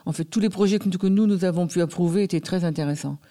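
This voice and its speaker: A man's voice: high voice, fast talker, warm tone. A man answering interview questions about a neighbourhood scheme, hesitating often with fillers. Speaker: local committee member.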